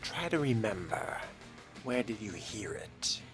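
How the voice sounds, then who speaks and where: Game roleplay: courteous, curious fledgling vampire voiced by a man, low and very slow, music behind. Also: very animated, fairly bright tone. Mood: probing. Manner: measured, musing, formal. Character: polite, brooding, curious male fledgling vampire. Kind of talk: in-character video game roleplay.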